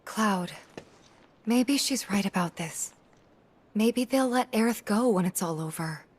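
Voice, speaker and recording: A gentle young heroine in a game cutscene. She speaks very slowly in a soft, breathy-husky voice, hesitant and pleading, like a caring friend. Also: mid-range voice, animated. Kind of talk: dramatic video game cutscene acting.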